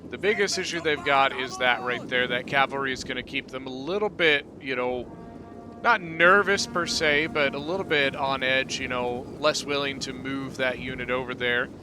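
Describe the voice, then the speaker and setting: A man hosting his gaming channel, giving relaxed, chatty commentary on a battle replay, peppered with "you know" and "uh". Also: medium pitch, moderate pace, background noise.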